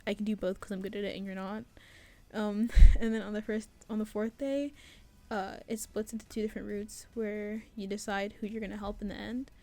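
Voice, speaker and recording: Chatty young woman, mellow voice. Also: medium pitch, steady tone, a little fast. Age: young adult. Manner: rambling, many fillers and restarts. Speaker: student vlogger. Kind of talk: casual devlog chat.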